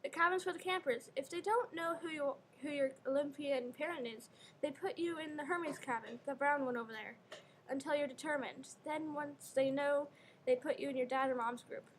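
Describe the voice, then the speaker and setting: A woman's voice: normal speed, natural voice, low-quality recording. A woman playing a young camp guide in readers theater, explaining things matter-of-factly. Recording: faint background noise, low rumble.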